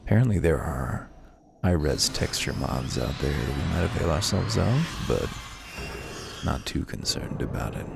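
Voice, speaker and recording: A man's voice: very deep voice, sing-song, measured pace, gravelly, heavy background noise. A man hosting a let's play, giving wry, offhand commentary and reading game text aloud. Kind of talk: casual gameplay commentary. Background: faint game music.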